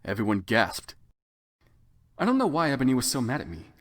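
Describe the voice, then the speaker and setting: Theatrical male narrator with lively pitch. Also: fairly quick, no room sound, studio quality. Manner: theatrical, exaggerated. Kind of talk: dramatic comic reading of fan fiction.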